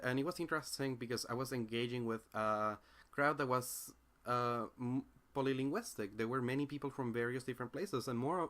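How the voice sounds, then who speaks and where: A man's voice: medium pitch, fairly bright tone. A young man with a Spanish accent, a native Spanish speaker, talking through his ideas in a casual reflective monologue, searching for words with frequent fillers and restarts. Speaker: independent philosophy commentator.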